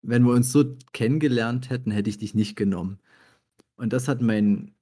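A man with a husky voice, chatting casually in a halting, self-deprecating way as he searches for words. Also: mid-range voice, dark tone. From Germany. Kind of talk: casual anecdotal chat among friends.

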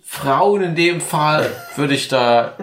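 A man's voice: fairly high pitch, expressive, slow, noisy background. A man chatting casually and ironically as a comedic podcast host, rambling and drunk, in an echoey recording. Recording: echoey, roomy sound.

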